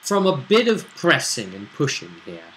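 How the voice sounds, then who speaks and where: Guy doing a game master recap, bright forceful voice, chatty and halting, some noise behind him. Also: medium pitch, huge pitch swings, low-quality recording. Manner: halting, conversational storytelling. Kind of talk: casual recap of a tabletop role-play session.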